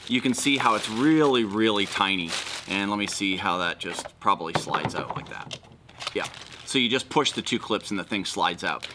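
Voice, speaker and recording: A man's voice: fairly low pitch, moderate pace, noisy background. A man hosting a casual product walkthrough, explaining things informally and step by step. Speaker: video host.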